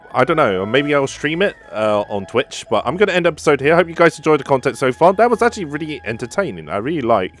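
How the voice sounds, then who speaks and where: Let's-play host, male, lively pitch, chatty, faint game music behind. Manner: chatty, reacts with hums. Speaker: gaming YouTuber. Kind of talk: casual let's-play commentary.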